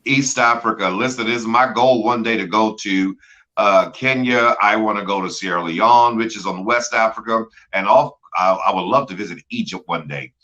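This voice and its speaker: A male host running a show with authors, praising guests warmly and often stumbling over repeated words. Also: on the low side, moderate pace. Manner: effusive, repeats words, fills with "uh". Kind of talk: enthusiastic author interview. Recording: dull lo-fi sound.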